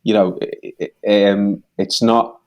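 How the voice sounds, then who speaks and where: Brief: Liverpool man, low voice, earnest, stumbling co-host. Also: little pitch movement, darkish tone. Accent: Liverpool English. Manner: halting, repeats himself a lot, earnest. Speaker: songwriter and podcast co-host. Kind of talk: casual chat.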